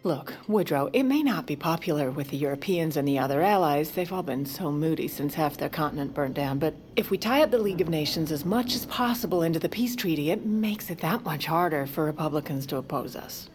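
An actress playing a shrewd, self-assured First Lady, narrating an audio comedy with deadpan, conversational asides. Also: low-pitched, a little fast, hardly any pauses, a little hiss or hum. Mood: confident. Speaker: narrator and lead character.

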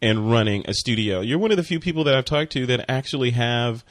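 A man hosting a photography podcast, reading a sponsor spot and interviewing a guest in a relaxed, chatty way with many fillers and restarts. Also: mid-range voice, quick pace. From Chicago. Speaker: podcast host. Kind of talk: casual interview and ad read.

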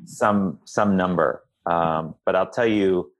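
A man giving explanatory interview answers as a public health guest, in a measured, halting manner that searches for words. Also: low voice.

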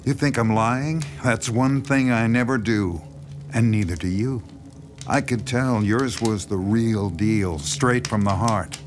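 A man's voice: fairly low pitch, slow pace. A man playing a self-assured, subtly sinister horror writer in an audio drama, talking in a folksy, knowing, insinuating way. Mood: insinuating, flattering. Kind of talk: naturalistic audio drama dialogue.

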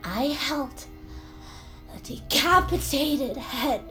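A woman playing a dazed, traumatized young woman in a darkly comic audio drama, halting and weighty in delivery. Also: high-pitched, lively intonation, sharp tone, faint music behind, full character voice. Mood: shell-shocked. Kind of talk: audio drama comedy-horror acting.

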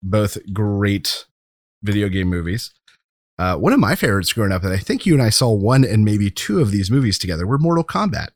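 An American man speaks in a low voice as a podcast co-host. He is casual and conversational, with a joking, informal manner, and the recording is dry and free of room sound. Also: expressive, a little fast, no echo.

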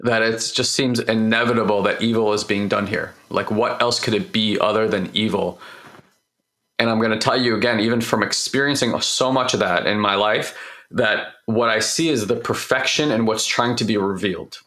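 A man with a hoarse voice, recovering from losing it, hosting a personal growth talk and speaking openly and emotionally, rambling with restarts. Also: on the low side, moderate pace, a bit bright. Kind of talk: candid heartfelt monologue.

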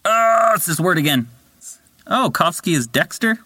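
Lively man fumbling his lines. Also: high voice, moderate pace, no echo. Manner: lively, breaks off to curse and retry. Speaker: voice actor recording lines. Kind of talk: blooper outtakes, swearing at tricky words.

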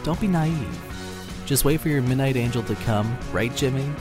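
A man's voice: medium pitch, moderate pace. A man reading a scripted podcast outro as the show's announcer. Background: guitar music.

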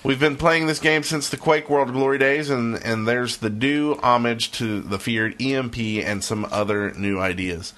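A man's voice: medium pitch, steady tone, moderate pace, hardly any pauses, a bit bright. A man talking casually as a podcast host, sometimes reading bits aloud.

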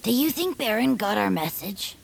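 A woman's voice: medium pitch, sing-song, moderate pace, vocal fry, breathy edge, exaggerated voice. A woman voicing a casual, eager little sidekick in a family audio comedy, agreeable in manner.

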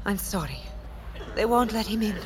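A woman's voice: mid-range voice, moderate pace, a crowd in the background, close to an everyday voice. A woman playing a young wartime nurse in an audio drama, tender and concerned. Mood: tender, sorrowful. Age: young adult. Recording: violin music behind the voice.

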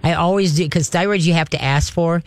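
A woman's voice: lowish voice, a little fast, no echo. A woman in her sixties hosting a pet care call-in radio show, talking in a folksy, rambling, chatty way into a studio microphone. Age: 60s.

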